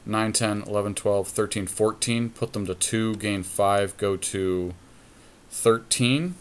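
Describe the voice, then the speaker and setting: A man's voice: fairly low pitch, steady tone, slow pace, clear, resonant tone. A man co-hosting a card game podcast, thinking aloud in a casual, analytical way as he talks through his options step by step.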